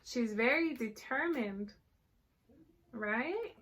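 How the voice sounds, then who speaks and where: A woman reading a picture book aloud to children in an animated way, pausing to explain words and ask questions. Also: on the high side. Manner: animated, checks in with listeners, explains words simply. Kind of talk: expressive read-aloud with explanatory asides for children.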